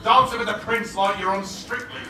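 A man's voice: high-pitched, steady tone, a little fast, close to an everyday voice. A man playing a bratty, sneering ugly stepsister in a live pantomime. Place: theatre stage. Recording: echoey theatre, distant, background noise.

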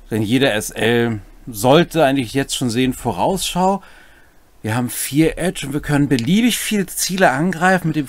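A man in his forties explaining tabletop game rules in a conversational way as a co-host and game master. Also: medium pitch. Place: small home room, indoors.

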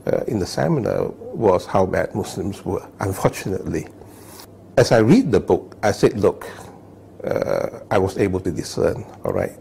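A man in his fifties from Malaysia, sitting indoors, telling his life story thoughtfully with many pauses and "all right?" checks. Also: deep voice, gravelly, a little mellow, slight background noise. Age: 50s. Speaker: interviewee. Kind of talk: personal testimony, unscripted. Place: indoor living room, seated on sofa.

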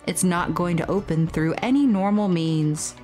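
A woman giving playful, expressive let's play commentary on a game, excitable and theatrical when reading aloud. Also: fairly low pitch, normal speed, faint music behind. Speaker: game streamer and host.

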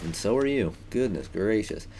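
Young dude w/ a deep voice rambling over his gameplay, lots of um and like. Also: animated, moderate pace, noisy background. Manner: chatty, rambling, many ums and likes. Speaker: let's play gamer. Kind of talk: casual gameplay commentary.

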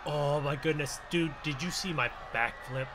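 An American man hosting a gaming roleplay video, exclaiming in casual, excited commentary. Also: on the high side, moderate pace. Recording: clear noise, vehicle ambience behind.

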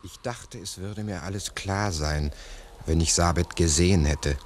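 An actor playing a sceptical, methodical investigator in a 1960s radio drama, deliberately reasoning aloud in formal speech. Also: male voice, sits low, slight background noise. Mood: puzzled reasoning.

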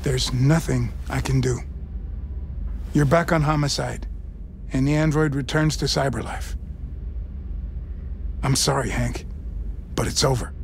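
A man's voice: high-pitched, animated, fairly quick, a little hiss or hum. An American man playing a middle-aged police captain in a video game, blunt, firm and exasperated. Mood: firm, regretful. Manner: blunt, authoritative. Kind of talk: dramatic game cutscene acting.

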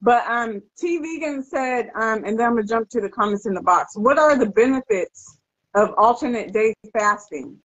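A middle-aged American woman hosts a casual Q&A with an informal, playful delivery. Her voice sits fairly high with a raspy edge, heard through slightly dull video-call audio. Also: expressive, normal speed, fairly bright tone. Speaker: host. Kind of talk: casual livestream Q&A chat. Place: living room at home, video call.

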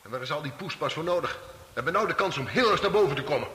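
A ruthless, sardonic criminal in a radio drama. His voice is somewhat high and forceful, giving curt orders full of distrust. It rings with long reverb. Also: animated, quick pace. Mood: wary, impatient. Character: ruthless, distrustful male criminal, bossy and coarse. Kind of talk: Dutch radio play acting. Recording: hissy old radio recording, long cave-like reverb.

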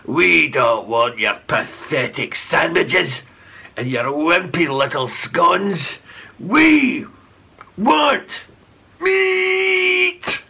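A man voicing a bad-tempered, brutish Viking bully who barks and booms, in a children's storybook reading. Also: very animated, very slow, gravelly, breathy edge, full character voice. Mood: angry. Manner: barking, shouting. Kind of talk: children's storybook read-aloud.

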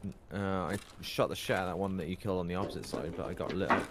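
A man's voice: low voice, fairly even intonation, rapid-fire. A young Welsh man with a Welsh accent in casual, teasing, swear-heavy banter with a squad mate over voice chat. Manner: swearing, teasing, dry jokes. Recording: noisy voice chat.

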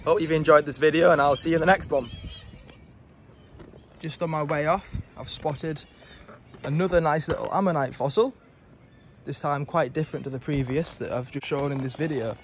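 A young man vlogs from a rocky shore with a slightly raised pitch, pointing out small details of a fossil in an eager, explanatory way. Faint outdoor ambience and distant birds sit behind his close, handheld-camera voice. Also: normal speed, a little hiss or hum. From England. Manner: enthusiastic, explanatory, showing finds to camera. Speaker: fossil hunter, channel host. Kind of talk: casual outdoor vlog narration.

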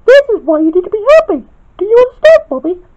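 Very high-pitched, earnest storybook friend. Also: sing-song, quick pace, darkish tone. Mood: scolding, earnest. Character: high-voiced, welcoming but scolding friend Spinel. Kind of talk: children's story character voice. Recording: dull lo-fi recording.

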